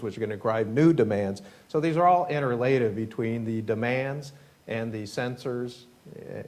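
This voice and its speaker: A man moderating a conference panel, introducing the speakers in a measured, explanatory way. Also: mid-range voice, a little mellow. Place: conference room.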